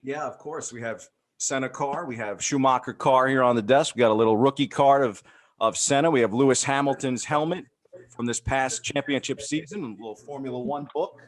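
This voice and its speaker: Confident New York broadcaster. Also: male voice, medium pitch, a little fast. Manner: confident, showy presenter delivery. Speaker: professional broadcaster, fan guest. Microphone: good studio microphone.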